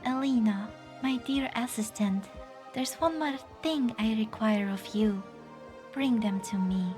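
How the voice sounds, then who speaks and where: Slow, sweetly controlling scientist acted by a woman. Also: fairly high pitch, natural voice. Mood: gentle, insistent. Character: serenely controlling female scientist, sweetly polite mastermind. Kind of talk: fan-dub game drama acting. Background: clear background music.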